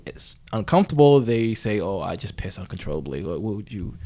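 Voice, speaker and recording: A man playing a bumbling, earnest talk-show host in an improvised comedy interview, with halting, rambling phrasing. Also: fairly low pitch, very animated, warm tone. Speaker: podcast host.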